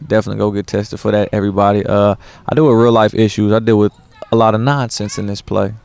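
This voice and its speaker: A laid-back young man with a lowish, smooth voice. He talks easily and loosely, dropping slang and a lot of uhs along the way. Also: level tone, fast talker, a little mellow. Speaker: stage actor. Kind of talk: casual interview.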